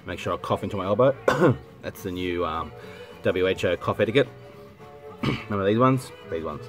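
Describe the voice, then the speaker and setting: An Australian man with a blocked, cold-stuffed voice, chatting casually and rambling, often stopping to clear his throat and correct himself. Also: deep voice, animated, moderate pace. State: congested with a head cold. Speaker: vinyl collecting vlogger. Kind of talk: casual rambling chat to camera. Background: music playing softly.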